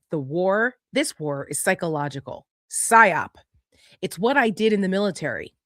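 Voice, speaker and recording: A woman's voice: lowish voice, no echo, clean studio sound. A woman co-hosting a political talk, reading messages aloud and adding conversational commentary.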